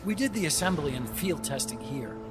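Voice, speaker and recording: A man's voice: medium pitch, expressive, fairly quick, close to an everyday voice. An actor voicing an earnest, talkative young male engineer scientist who explains things at length in a game cutscene. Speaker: game character. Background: game score music.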